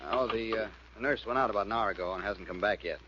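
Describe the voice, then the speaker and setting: An actor playing a mild-mannered, hesitant doctor in a 1940s radio drama. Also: male voice, lowish voice, quick pace, lightly acted. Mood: uneasy. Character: mild, hesitant doctor, husband and hobby carpenter. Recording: muffled, hissy old radio recording.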